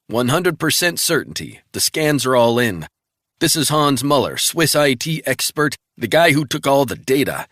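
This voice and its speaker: A man voicing a brisk male intelligence analyst reporting findings over the phone, in a dry audiobook reading. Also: mid-range voice, a bit bright, dry recording, voice pushed up. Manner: brisk, rapid, matter-of-fact reporting.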